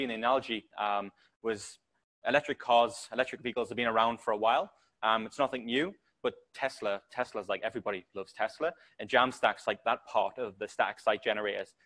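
A man's voice: on the low side, fairly bright tone. A man from England with a Geordie accent, giving a casual developer talk to a meetup audience, full of ums and restarts. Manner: rambling, many ums and restarts. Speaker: conference speaker, developer. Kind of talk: casual tech talk presentation.

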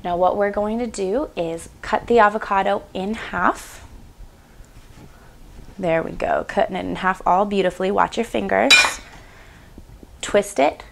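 A woman's voice: medium pitch, creaky, a little hiss or hum. A woman in her twenties hosting a home cooking demonstration in a small kitchen, chatty and upbeat with playful asides, on a lapel mic. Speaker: cooking show host.

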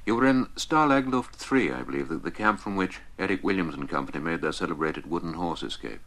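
A deep-voiced radio host keeps his questions short and courteous. He nudges the guest along from one chapter of his life to the next, heard through an old 1961 broadcast recording. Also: lively intonation, brisk, a bit bright. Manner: brief, polite prompting questions. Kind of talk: radio interview.

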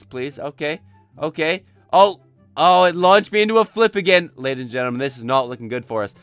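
A young man who is a bit under the weather, giving excitable, chatty, casual game commentary and repeating short exclamations. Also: on the high side, clear, resonant tone, dry recording. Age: young adult. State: sick, says he is losing his voice. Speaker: gaming video host. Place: indoor desk setup.

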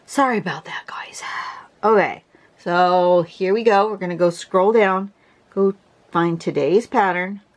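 Woman, congested, lower voice, casual drawing lesson, clears her throat. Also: a little slow. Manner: chatty, restarts a lot, clears her throat. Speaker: drawing teacher. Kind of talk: casual tutorial narration. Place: home desk, camera over the drawing surface.

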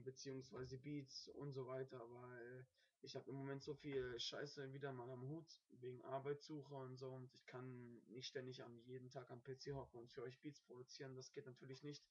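A young man with a cold-hoarse voice, talking casually to his webcam in a small dim room, rambling with many fillers. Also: mid-range voice. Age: young adult. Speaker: beat producer. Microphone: webcam microphone, quiet at first. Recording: dull lo-fi webcam audio.

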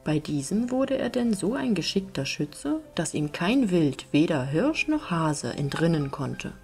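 Low-pitched woman storyteller over soft music. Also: very animated, measured pace. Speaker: storyteller, audiobook reader. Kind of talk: scripted fairy-tale narration.

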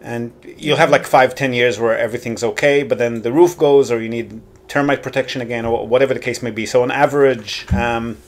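A man hosting a podcast as a real estate consultant, explaining casually with frequent pauses and ums over a call. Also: mid-range voice, steady tone.

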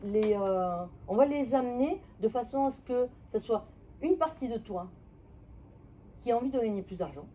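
A woman trainer calmly guiding a practice exercise in a training room, checking each step with her trainee. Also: a little high, moderate pace. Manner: calm, methodical, checking understanding. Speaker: NLP trainer.

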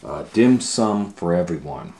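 A middle-aged man with a very low voice reads a picture book to kids, taking his time over every page. He chats along between lines and now and then sinks to a hush. Faint hiss sits under the close camera mic. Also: a little slow. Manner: relaxed, chatty, drops to a near whisper at times. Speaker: children's storytime reader. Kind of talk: casual read-aloud for kids.

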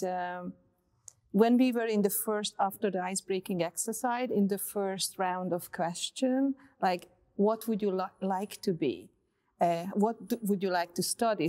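A middle-aged woman from Hungary, speaking thoughtfully with many pauses and fillers as an artist moderating a panel in a bright studio. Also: mid-range voice. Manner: thoughtful, halting with frequent fillers. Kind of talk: relaxed panel conversation.

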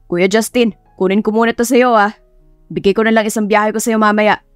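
A woman's voice: medium pitch, fairly even intonation, fairly quick, clear, resonant tone, no echo, studio quality, faint music behind, lightly acted. A woman voicing a cocky, cheerful young male tricycle driver who teases playfully in a romance audiobook. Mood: casual.

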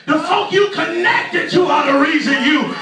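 A man's voice: extremely high pitch, unhurried, gravelly, distant mic. A middle-aged man preaching a fervent sermon, emphatically repeating phrases and calling on his congregation. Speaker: pastor preaching. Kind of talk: fervent church sermon with call and response. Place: medium church hall, indoors. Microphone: handheld PA mic, recorded by audience phone. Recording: echoey church hall, phone in audience, noisy congregation. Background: music and congregation responses.

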